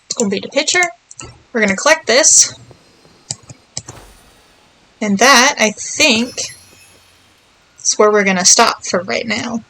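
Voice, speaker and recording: A woman's voice: fairly high pitch, a little slow, pauses often, bright, forceful tone, a little hiss or hum, low-quality recording. A woman giving casual, playful video game commentary, thinking aloud and murmuring little nonsense words to herself as she plays.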